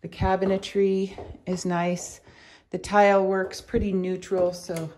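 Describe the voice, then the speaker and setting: A woman's voice: medium pitch, little pitch movement, a little slow, a little mellow. A woman giving a casual, unscripted house tour as a real estate agent, chatting and thinking aloud as she walks through the rooms. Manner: chatty, thinking aloud while walking around. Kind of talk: casual, unscripted walkthrough narration. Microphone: handheld camera or phone held by speaker. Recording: clearly noisy handheld walkthrough recording.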